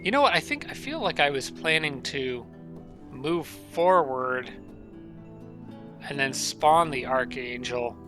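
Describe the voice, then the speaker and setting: A man casually commentating a strategy game, thinking aloud and correcting himself, his voice a little raspy and nasal from allergies. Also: mid-range voice, animated, slow, long pauses, music in the background. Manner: thinking aloud, rambling with restarts.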